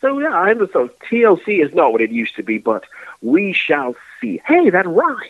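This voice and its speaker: A high-voiced man with a bouncy, animated delivery cracks jokes and throws in theatrical exclamations while chatting about wrestling. His voice comes through thin and tinny, like a phone line. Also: normal speed, no room sound. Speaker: podcast co-host. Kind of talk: casual wrestling chat.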